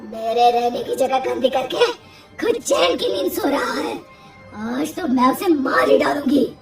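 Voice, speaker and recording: A woman voicing a vain, quick-tempered cartoon river witch in theatrical exclamations. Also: high voice, lively intonation, vocal fry, reverberant, far from the mic, soft background music, heavily acted. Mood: angry. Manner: theatrical exclaiming, swinging between anger and delight.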